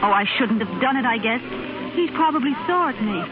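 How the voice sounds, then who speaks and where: An actress playing a proud, hard-working young American wife, earnest and emotional, in 1930s radio drama style. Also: female voice, fairly high pitch, expressive, brisk, a crowd in the background, close to an everyday voice. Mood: anxious, confiding. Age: young adult. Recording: muffled 1930s radio broadcast, music.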